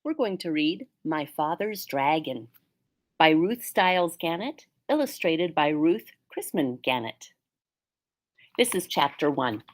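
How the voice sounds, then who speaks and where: A woman's voice: sits low, sing-song, slow pace. A woman reading a children's storybook aloud as a teacher does for pupils.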